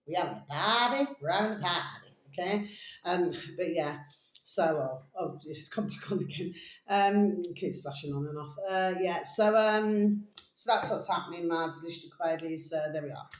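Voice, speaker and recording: A tired woman video blogger chatting casually and ramblingly to her viewers, pausing often and repeating pet endearments. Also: fairly low pitch. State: mentally tired, drained. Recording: echoey, roomy sound.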